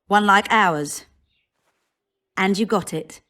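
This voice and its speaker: A woman's voice: medium pitch, takes it slowly, fairly bright tone. An actress voicing a dry, matter-of-fact female soldier in a fantasy video game. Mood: wry.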